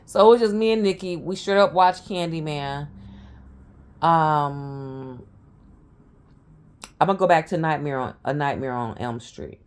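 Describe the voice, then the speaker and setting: Hoarse lady in her 40s, kinda low voice, talks slow and rambly, keeps going off on side notes. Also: darkish tone. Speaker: vlogger. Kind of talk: casual storytelling monologue.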